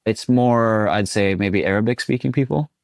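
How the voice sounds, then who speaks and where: A man's voice: lowish voice, moderate pace, gravelly, no room sound, studio quality. A young man from Sweden, a guest speaking casually in an interview with many fillers. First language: Swedish. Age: 20s. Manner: relaxed and chatty, many fillers like "you know".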